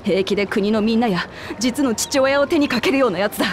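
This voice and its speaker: High, rough-spoken young warrior queen played by a woman. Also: brisk, slight background noise, natural voice. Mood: grave, resolute. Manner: rough, tomboyish speech. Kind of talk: dramatic video game voice acting.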